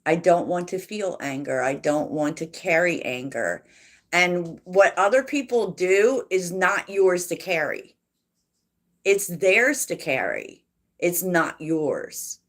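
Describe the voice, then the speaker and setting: A woman hosting a tarot livestream, chatting softly and reflectively with viewers and laughing often. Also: on the low side. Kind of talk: casual livestream chat answering viewers.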